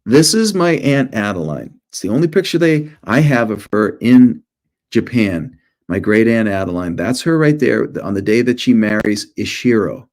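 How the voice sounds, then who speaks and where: A man's voice: fairly low pitch, lively intonation, moderate pace, darkish tone. A middle-aged man, an author, presenting informally to schoolchildren in short storytelling phrases with conversational asides.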